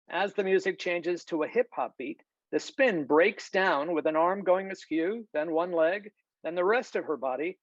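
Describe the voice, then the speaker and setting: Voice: medium pitch, no echo. A narrator reading scripted stage directions for a screenplay table read over a video call. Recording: dull, lo-fi video call audio.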